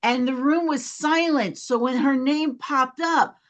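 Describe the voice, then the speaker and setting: High-voiced woman telling a casual anecdote. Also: a little slow. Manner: chatty, reenacts dialogue when retelling.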